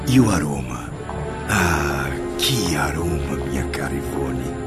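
A man's voice: very deep voice, expressive, takes it slowly, exaggerated voice. An actor in a radio drama playing a spirit who narrates his memories in a florid, theatrical, savouring way. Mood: enchanted. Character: male spirit narrator, wonderstruck, reminiscing. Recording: music behind the voice.

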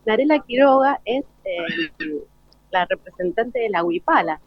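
A woman's voice: fairly high pitch, animated, a little slow, no echo. A woman with an Argentine accent works as a radio host, doing live interviews on a remote broadcast. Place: school event, remote broadcast. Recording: dull lo-fi radio broadcast link.

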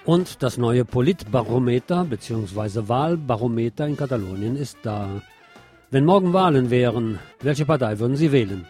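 A man's voice: medium pitch, lively intonation, normal speed, over music. A man presenting scripted news with commentary, explaining items in an opinionated way.

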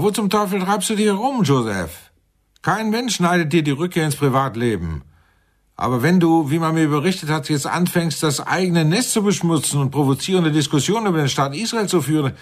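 An actor playing a gruff, scolding intelligence chief with a sardonic manner in a German radio drama. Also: male voice, fairly high pitch. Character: gruff, reproachful male Israeli intelligence chief on the telephone.

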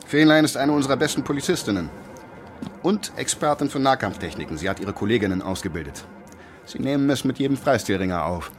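An actor playing a gruff, commanding police inspector in a German radio drama, speaking in a terse, sardonic way. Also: male voice, medium pitch, very animated, fast, background noise, lightly acted. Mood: stern, commanding. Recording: music bed behind the voice.

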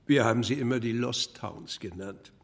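A male actor as a wry club regular in an audio drama. His mid-pitched voice creaks as he chips in with laid-back, gossipy remarks. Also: moderate pace, close to an everyday voice. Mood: wry, musing. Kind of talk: naturalistic German audio drama acting.

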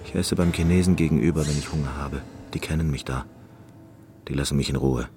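An actor playing a neurotic, eccentric and reclusive concert pianist in a radio play, obsessive, pedantic and self-absorbed. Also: male voice, rumbling low, steady tone, brisk, dark tone, faint music behind. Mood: defiant. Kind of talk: radio play monologue and dialogue.

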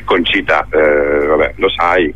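Over a thin, telephone-like connection comes a man with a low-pitched voice. He talks conversationally as a theatre director interviewed on radio, often hesitating as he searches for the right words. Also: fairly even intonation, takes it slowly, pushed and bright. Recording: thin phone-like radio line.

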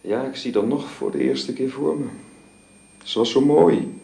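A man acting in a radio drama as a sorrowful, gentlemanly husband, speaking haltingly and reflectively. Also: medium pitch, gravelly. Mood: wistful, brooding. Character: sorrowful husband of a dying woman, gentlemanly and brooding.

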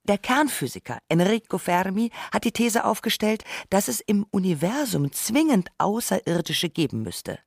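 Scripted radio essay narrator, creaky and breath-worn in tone, recorded with no room sound. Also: mid-range voice, very animated, moderate pace, hardly any pauses, no echo, clean studio sound.

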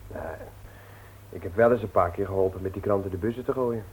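An actor playing a smug, self-satisfied young schemer with a colloquial way of talking, in a 1960s radio play. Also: male voice, low voice, fast talker, a little hiss or hum, natural voice, low-quality recording. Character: sly, self-satisfied young male small-time schemer.

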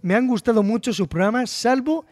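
A man's voice: very high voice, a little fast. A man with a Murcian accent hosting a podcast, reading listener messages aloud in a joking, casual way. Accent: Murcian Spanish. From Murcia, Spain. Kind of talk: casual group chat.

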